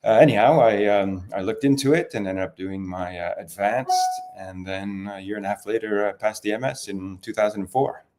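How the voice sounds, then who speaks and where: Low-voiced Canadian man telling his story. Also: fairly even intonation, brisk, few pauses, over music. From Toronto, Canada. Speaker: interview guest, wine expert. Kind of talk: relaxed conversational storytelling.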